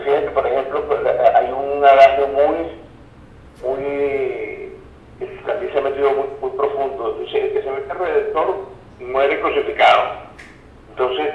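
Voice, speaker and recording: A Venezuelan man speaking as an expert guest in an interview, explaining ideas reflectively and didactically with word origins and everyday examples. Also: medium pitch. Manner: didactic, reflective, checks in with the listener. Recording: roomy echo, dull lo-fi sound, faint noise.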